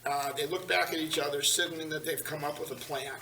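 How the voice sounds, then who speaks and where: A man's voice: on the high side, fairly even intonation, fast, gravelly, pushed and bright. A man narrating scripted stage directions at a table read in a large meeting room. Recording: echoey room, distant mic, hiss.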